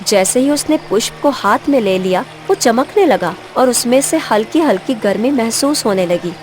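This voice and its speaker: A woman's voice: a little high, lively intonation, normal speed, darkish tone. A woman storyteller giving scripted, dramatic narration of a fairy tale. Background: background music.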